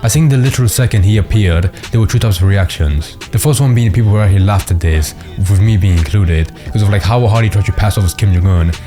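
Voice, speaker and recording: Brief: male commentary narrator, deep, rapid, casual, music underneath. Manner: casual, filler "like" throughout. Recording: voice-over with music behind.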